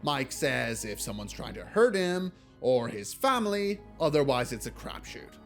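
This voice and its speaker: A man's voice: mid-range voice, very animated, moderate pace, vocal fry. A man delivering scripted video essay commentary in a blunt, casually sardonic way. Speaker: video essayist reviewing films. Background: music.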